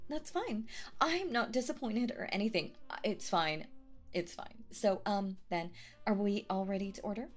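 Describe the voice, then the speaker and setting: A woman's voice: mid-range voice, moderate pace, faint music behind, close to an everyday voice. A woman playing a young, sweetly obsessed and possessive date in an ASMR roleplay, coy and airy in manner. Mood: clingy, forced calm.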